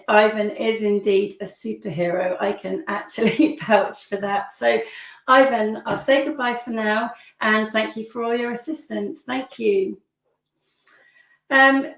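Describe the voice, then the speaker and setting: Croaky woman hosting a webinar. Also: fairly high pitch, roomy sound. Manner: chatty, warm welcomes with frequent fillers. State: hoarse, croaky. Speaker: webinar host.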